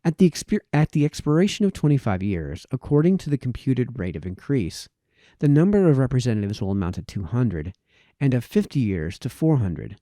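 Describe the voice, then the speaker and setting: Mellow man reading aloud, lively intonation. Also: medium pitch, fairly quick, no echo, studio quality. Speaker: reader of historical political essays. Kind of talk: reading aloud formal prose.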